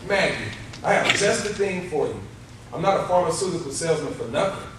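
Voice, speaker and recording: A folksy, doting family father played by a man in an old-time radio play. His voice is fairly high and animated, heard from a distance in a very echoey hall with music. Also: fairly quick, background noise, lightly acted. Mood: reassuring. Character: cheerful doting folksy 1950s salesman father. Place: theater stage before live audience. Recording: echoey live stage, distant mic, loud music.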